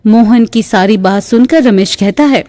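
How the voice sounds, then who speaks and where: A woman's voice: fairly high pitch, normal speed, mellow. A woman narrating a scripted moral tale for a cartoon in a storytelling style. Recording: long reverb.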